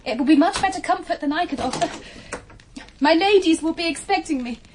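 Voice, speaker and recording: An actress playing an earnest, reserved young English governess in a period drama, speaking in a restrained, formal, principled way. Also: female voice, very high voice, animated, fairly quick, a little hiss or hum. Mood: flustered. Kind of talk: period radio drama acting.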